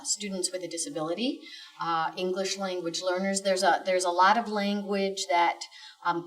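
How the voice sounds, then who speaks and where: Measured woman, slightly off-mic. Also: lowish voice, fairly bright tone, far from the mic. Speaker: guest education expert. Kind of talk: explanatory interview answers.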